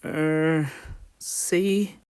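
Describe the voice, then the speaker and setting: A woman's voice: low voice, steady tone, extremely slow, a little mellow. A woman voicing a nervous, deferential undersea royal underling in a cartoon comic dub, hesitant. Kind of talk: animated comic dub voice acting.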